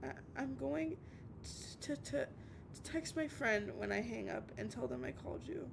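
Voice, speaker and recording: Woman acting a halting, creaky-voiced heartbroken young woman. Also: sing-song, exaggerated voice. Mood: resolute, nervous. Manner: halting, stammering, repeating words. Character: obsessive, heartbroken young woman calling an on-off lover at night. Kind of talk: dramatic audio roleplay monologue. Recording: noisy with low rumble.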